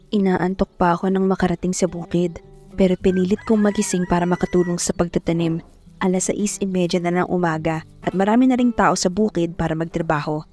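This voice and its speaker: A woman's voice: lowish voice, darkish tone, natural voice. A young woman playing a shy, hardworking farm girl who narrates her own story in a radio drama, polite and earnest. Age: young adult. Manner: polite, hesitant when addressing others. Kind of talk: first-person radio drama narration and dialogue.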